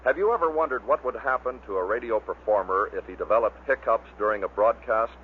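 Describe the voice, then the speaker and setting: A man's voice: on the low side, a little fast. An American man reading scripted narration that introduces a series of clips. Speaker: narrator of a bloopers record. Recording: tinny band-limited vintage record, faint noise.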